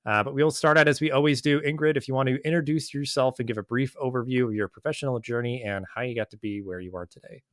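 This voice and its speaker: A man's voice: medium pitch, fairly even intonation, fast, hardly any pauses, no room sound, clean studio sound. A man hosting a podcast in a casual interview chat, rambling with lots of hesitant fillers. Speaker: podcast host.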